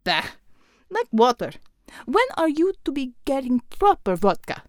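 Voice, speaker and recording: A woman's voice: high-pitched, big pitch swings, unhurried, dry recording, clean studio sound. A woman voicing a bossy, scornful Soviet superhero commander with a Russian accent and clipped broken English.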